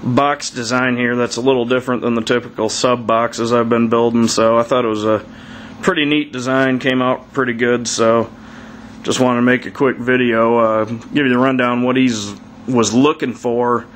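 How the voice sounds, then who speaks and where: A man's voice: mid-range voice, flat delivery, normal speed, slight background noise. A man giving a casual, unscripted walkthrough in a plain-spoken, hesitant way, recorded indoors on a handheld camera's mic. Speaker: hobbyist builder. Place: carpeted living room, indoors. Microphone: camera mic, held by speaker.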